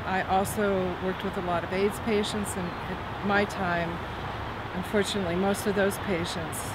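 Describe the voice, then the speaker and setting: A woman's voice: medium pitch, little pitch movement, normal speed. A woman in her sixties from California, retired teacher, chatting casually in an interview, with small laughs and restarts, recorded outdoors at a farm. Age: 60s. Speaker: master gardener and retired teacher. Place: outdoors at a school farm by a tree. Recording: outdoor recording with loud traffic noise. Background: passing cars and outdoor ambience.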